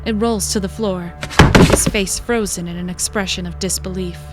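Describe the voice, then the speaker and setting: Woman narrator over clear background music. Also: fairly low pitch, steady tone, moderate pace. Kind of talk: scripted dramatic narration.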